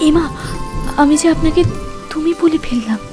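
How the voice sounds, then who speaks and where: A high, girlish female voice, performed by an actress for a cartoon love story. She is a shy young sweetheart, tender and hesitant, full of feeling. Music plays clearly behind her. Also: normal speed, lightly acted. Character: shy, emotional young woman in love, sheltered by a man. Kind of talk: animated romance drama voice acting.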